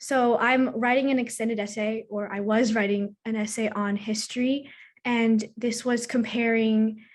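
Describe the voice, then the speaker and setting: A teenage girl from Washington, D.C. introducing herself on a student panel, measured and self-correcting. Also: on the high side, steady tone. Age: teens.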